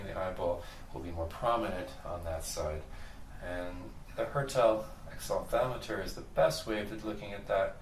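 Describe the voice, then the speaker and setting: A man giving an instructional demonstration of a physical exam as a clinical instructor. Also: on the low side. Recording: roomy, distant mic, faint noise.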